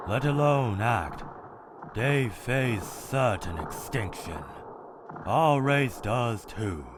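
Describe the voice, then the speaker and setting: A man voicing a cold, calculating, one-eyed male robot scientist in a comic dub, speaking in a measured, clinical, detached way. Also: lowish voice, background noise. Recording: dramatic music underneath.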